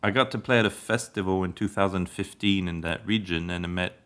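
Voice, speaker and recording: A middle-aged man with a French accent and a notably deep voice. He talks casually, like a musician being interviewed on a podcast. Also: fairly quick, fairly bright tone, clean tone. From Paris, France. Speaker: singer-songwriter, podcast guest.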